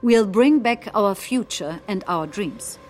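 A woman acting in documentary theatre, delivering nationalist political speeches in a deliberate, declamatory manner. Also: mid-range voice, animated, darkish tone, a little hiss or hum. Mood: solemn, resolute. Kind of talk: staged reading of political speeches.

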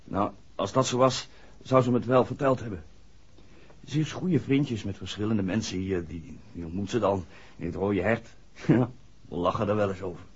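A man's voice: fairly low pitch, normal speed, a little hiss or hum, close to an everyday voice. A man acting an earnest, hesitant young village garage worker in a 1960s radio play, speaking deferentially. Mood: defensive. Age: young adult. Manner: hesitant, deferential to his questioner. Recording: dull 1960s radio drama recording.

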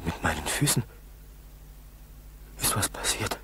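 A man's voice: sits low, big pitch swings, unhurried. A man acting an earnest, determined Soviet fighter pilot lieutenant in a 1960s German radio drama. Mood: pained, weak. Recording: 1960s school radio tape, faint hiss.

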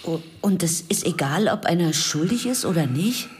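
A woman's voice: fairly low pitch, animated, moderate pace, a little mellow, a little hiss or hum, lightly acted. A woman acting in a radio crime drama as a skeptical, sardonic colleague with a dry delivery.

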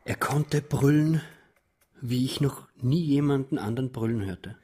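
Radio play: cowed, hesitant man of forty, rough husky tone, subdued and evasive. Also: medium pitch, measured pace, warm tone. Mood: haunted, reflective. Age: middle-aged. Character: cowed, hesitant son of a tyrant, around forty. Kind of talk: radio play monologue and dialogue.

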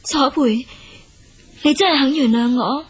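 Radio drama ingenue: guilt-ridden young Hong Kong Cantonese woman, high-pitched, hesitant, plaintive. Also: measured pace. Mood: disbelieving, moved. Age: young adult. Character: timid, guilt-ridden young girlfriend and dutiful daughter.

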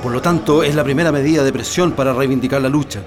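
An actor playing a calm, methodical leader of political prisoners in a radio drama, with a Chilean accent and a measured, reassuring delivery. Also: male voice, medium pitch, natural voice. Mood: steady, reassuring. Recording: music behind the voice.

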